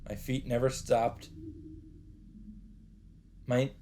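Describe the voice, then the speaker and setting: A man's voice: mid-range voice, level tone, drawn-out words, warm tone, a little hiss or hum. A man reading a visual novel aloud with dramatic delivery as a let's player, with faint game ambience behind him.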